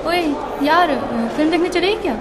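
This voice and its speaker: High-voiced friendly college girl. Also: fairly even intonation, brisk, over a noisy crowd. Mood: eager, chatty. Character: friendly, persuasive young female college student. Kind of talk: radio drama acting in casual Hindi-English slang. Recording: noisy, crowded classroom ambience behind.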